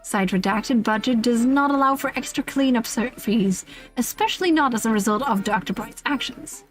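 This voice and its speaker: A woman streamer reads aloud with an amused, casual delivery. Her voice sits a little high, and she slips playful asides into formal wording. Soft music plays underneath. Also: moderate pace. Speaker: streamer reading a humorous list aloud. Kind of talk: casual livestream read-aloud.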